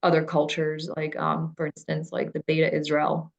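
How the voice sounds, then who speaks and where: A psychiatrist from Ukraine talking through ideas in a relaxed professional discussion, thoughtful and halting, with many fillers. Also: female voice, sits low, vocal fry.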